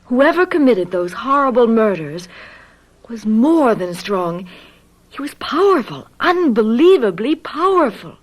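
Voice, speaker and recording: An actress playing a shrewd, affectionate young fiancée in a radio drama, coaxing and gently teasing. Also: female voice, fairly high pitch, big pitch swings, a little slow, rough recording. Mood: insistent.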